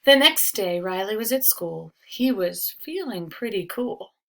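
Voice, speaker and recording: A woman teacher reading a children's storybook aloud with expressive narration. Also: mid-range voice, measured pace, gravelly.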